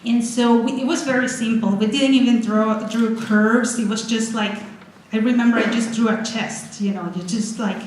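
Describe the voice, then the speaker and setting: A woman in her forties from Venezuela, giving an energetic, chatty talk with slides as a guest speaker at a college. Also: on the high side, moderate pace. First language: Spanish. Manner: excited, chatty, many restarts. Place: college lecture room. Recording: echoey hall, faint noise.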